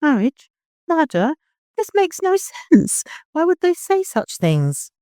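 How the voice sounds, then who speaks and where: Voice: sits high, wildly swooping pitch, takes it slowly, warm tone, dry recording, studio quality, voice pushed up, full character voice. A narrator voicing an older woman, a devoted nurse who scolds and frets in urgent exclamations. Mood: shocked, urgent.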